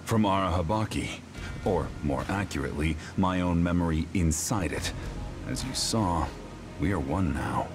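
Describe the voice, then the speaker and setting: Casting: imperious game villain, very low voice, obsessive and world-weary, deliberate contemptuous delivery, over prominent music. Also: male voice, expressive. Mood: cold, smug. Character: cold, obsessive male time traveler villain, scornful and weary. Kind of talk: dramatic video game acting.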